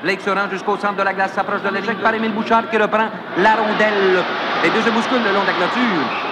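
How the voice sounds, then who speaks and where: A man playing an excited 1940s radio hockey announcer, with a Quebec French accent, calling play-by-play. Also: high-pitched, fast talker, natural voice. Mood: brisk, urgent. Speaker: sports commentator. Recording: noisy old radio broadcast sound.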